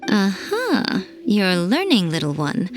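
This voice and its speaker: A sly fox shrine familiar from a video game. She speaks at a medium pitch with aloof, teasing composure, as if she always knows more than her listener. Music plays clearly behind her. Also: wildly swooping pitch. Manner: teasing, unhurried, knowing, condescending.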